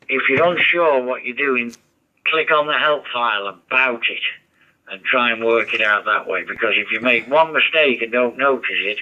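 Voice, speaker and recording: A man chatting over a ham radio, rambling and giving advice. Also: mid-range voice. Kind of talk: casual radio chat. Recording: dull muffled radio audio.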